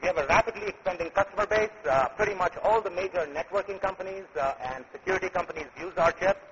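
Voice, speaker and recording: A man presenting his company at a conference, speaking in a halting way with many filler sounds. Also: high-pitched, fairly bright tone. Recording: muffled recording with faint noise.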